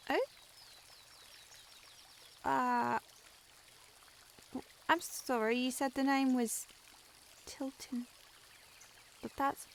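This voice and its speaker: A woman's voice: sits high, gravelly, fairly bright tone, slight background noise, full character voice. A woman playing a timid young shop assistant in a historical audio roleplay, nervous, stammering and eager to please. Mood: shy, eager.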